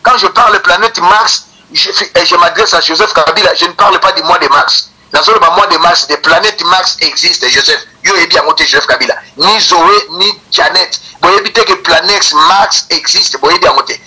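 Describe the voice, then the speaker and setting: Middle-aged Congolese man, Congolese French accent, political commentator, high and very bright voice, slightly tinny phone-like sound. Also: normal speed, hardly any pauses, breathy edge. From Congo (Kinshasa). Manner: emphatic, repetitive, scornful. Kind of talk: political monologue mixing French and Lingala.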